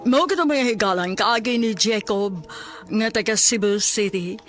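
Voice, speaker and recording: A woman's voice: mid-range voice, normal speed. A woman with a Cebuano accent reading a scripted outro as host of a radio program. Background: music.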